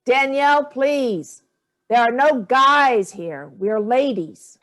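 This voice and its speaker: A woman's voice: high-pitched, slow pace, fairly bright tone. A woman in a staged play reading, playing an imperious, cutting grandmother with a dry, lofty manner.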